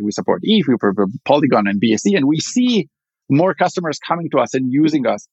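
A man's voice: mid-range voice, breathy edge, no echo. A man with an Israeli accent talks through his answer in a loose, rambling way, full of fillers and restarts. His recording is slightly muffled and dry, with no room around it. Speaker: startup founder. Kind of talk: casual interview answer.

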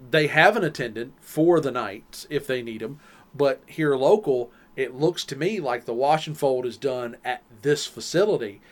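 A man with a Southern US accent, co-hosting a podcast and explaining things at length in casual chat. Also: medium pitch. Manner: rambling, explains at length with restarts. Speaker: podcast co-host.

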